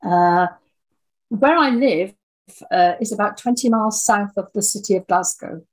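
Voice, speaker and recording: An older woman with an English accent speaks as a guest in an interview. Her voice is a little husky and sits at a medium pitch. She talks in a conversational storytelling way. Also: normal speed. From Birmingham, English Midlands. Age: 60s or older. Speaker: interview guest, audio drama writer.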